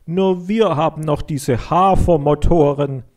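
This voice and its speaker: A man's voice: on the high side, a little slow, darkish tone, voice pushed up, close to an everyday voice. A man voicing a stubborn, hot-headed farm boy in a children's story, arguing emphatically. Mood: scornful, insistent. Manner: emphatic, argumentative.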